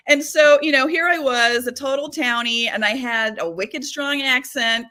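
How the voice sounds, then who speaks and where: A woman from Massachusetts, a psychic medium guesting on a talk show, telling stories in a lively, animated way and laughing often, with no regional accent left. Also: high-pitched, measured pace. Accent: none, lost her Boston-area accent. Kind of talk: casual interview chat.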